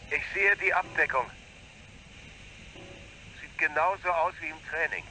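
A man's voice: fairly high pitch, measured pace, sharp tone, slight background noise, poor audio. An actor playing a tense, anxious male astronaut talking over a radio link in a radio play. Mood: focused.

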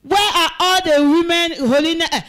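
A middle-aged woman from Cameroon preaching fervently in a church hall on a handheld mic, pausing for an interpreter and breaking into song. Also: extremely high pitch, steady tone, a bit bright. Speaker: guest evangelist. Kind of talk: sermon with live interpretation.

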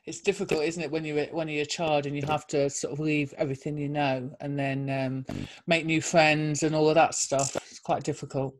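A woman's voice: sits low, level tone, normal speed, low-quality recording. A middle-aged woman, interviewing casually from a bedroom on a video call. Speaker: interviewer, host. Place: indoors, bedroom at home.

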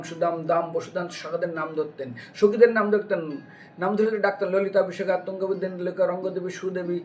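High-pitched male preacher, distant mic. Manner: explanatory, with rhetorical questions and acted-out dialogue. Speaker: religious preacher giving a devotional lecture. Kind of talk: devotional storytelling sermon with recited scripture verses. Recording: distant microphone with music behind.

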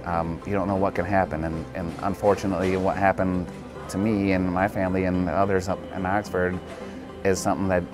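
Middle-aged man, low flat voice, halting and soft-spoken, bowling alley interview. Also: over music. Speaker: interviewee, bereaved father. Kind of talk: interview answers.

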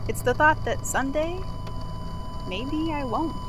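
A woman voicing a cheerful, accident-prone male archival assistant in an audio drama, chatty and wry with thoughtful pauses. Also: high-pitched, background noise. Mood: candid, musing. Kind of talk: confessional monologue in an audio drama.

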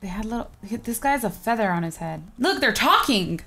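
A young woman in her twenties chatting casually while playing a game at home, prone to quick excited exclamations. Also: a little high, creaky. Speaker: co-host of a Minecraft series. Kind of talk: casual gameplay chat. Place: home room, indoors. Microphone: webcam and desk mic.

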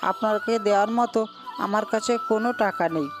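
A woman's voice: mid-range voice, fairly even intonation, brisk, over music, lightly acted. A woman voicing a poor, honest young boy in a cartoon, speaking in an earnest, pleading way. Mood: earnest, gentle. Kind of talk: Bengali cartoon dubbing.

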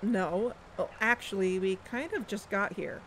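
A woman's voice: mid-range voice, unhurried, slight background noise, close to an everyday voice, poor audio. A woman playing a dogged, impatient investigator in a podcast audio drama. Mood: curious. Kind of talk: audio drama acting with narration.

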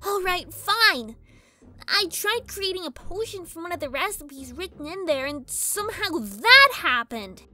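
A woman's voice: very high-pitched, very animated, unhurried, sharp tone, heavily acted. A woman voicing a bratty, scheming little filly villain in a whiny, theatrical manner for a dramatized story reading. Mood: defensive. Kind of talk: dramatized fan fiction reading. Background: background music.

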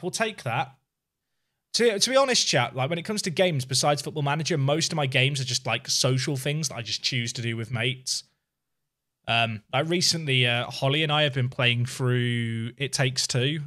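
A man's voice: mid-range voice, a bit bright. A man in his thirties chatting casually and commentating as he livestreams a game from a small room at home. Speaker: game streamer.

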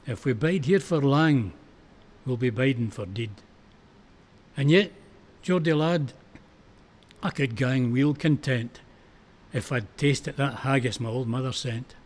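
A man's voice: mid-range voice, slow pace, long pauses, darkish tone. A man reciting dramatic verse, voicing a plucky wounded Scottish soldier of the First World War, dogged and exclamatory. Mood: wistful.

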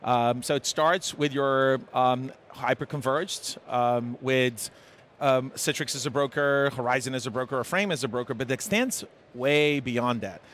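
Serbian-accented man, halting and filler-heavy. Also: medium pitch, a bit bright, a little hiss or hum. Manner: halting, many fillers and restarts. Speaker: tech executive guest. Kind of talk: live trade-show interview. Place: busy conference hall. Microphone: headset mic. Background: crowd chatter.

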